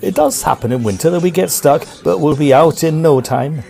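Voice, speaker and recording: A man's voice: on the high side, brisk, gravelly, a little mellow, faint music behind. A man playing a talkative, reassuring and polite Victorian train conductor in a comic audio drama. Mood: cheerful reassurance. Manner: long-winded, polite. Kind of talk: period farce audio drama.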